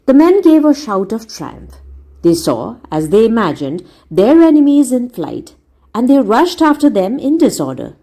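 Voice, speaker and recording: A woman reading a story aloud as a teacher, in a deliberate, explanatory manner. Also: a little high, very animated, dark tone. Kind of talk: read-aloud narration with word explanations.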